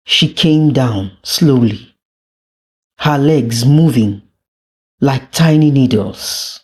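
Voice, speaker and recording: A storyteller narrates a scripted folktale at a very unhurried pace. The delivery is deliberate and dramatic, with a middling pitch.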